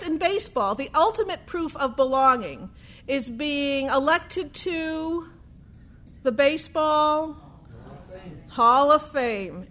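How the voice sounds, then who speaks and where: A woman storyteller with a high voice tells a true story. She goes very slowly and deliberately, using dramatic pauses and repeated phrases. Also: a bit bright.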